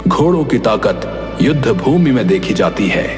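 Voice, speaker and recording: A man playing a just, fatherly king in an animated moral story, speaking gravely and with measured authority. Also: lowish voice. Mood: stern, reproachful. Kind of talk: dramatic animated story dialogue. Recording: echoey palace-like reverb, faint music behind.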